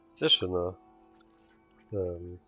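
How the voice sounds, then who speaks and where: Deep-voiced man chatting over gameplay. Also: level tone, extremely slow, pushed and bright, muffled recording, soft background music. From Germany. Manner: chatty, reacts to the scene with short asides. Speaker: game streamer. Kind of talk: casual let's-play commentary.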